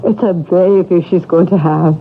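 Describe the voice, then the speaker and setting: A woman's voice: on the low side, fairly even intonation, normal speed, lightly acted. A long-serving Irish housekeeper acted by a woman, loyal, effusive and full of feeling. She speaks in old radio drama style. The recording is very narrow and tinny, with faint noise behind. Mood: coaxing, hesitant. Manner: pleading, effusive, endearing address. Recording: thin, noisy old radio broadcast.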